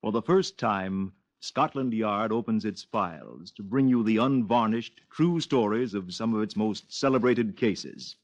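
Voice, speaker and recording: Casting: male 1950s radio announcer, formal, lowish voice, dulled old recording. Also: a little slow, hardly any pauses. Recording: muffled vintage radio recording.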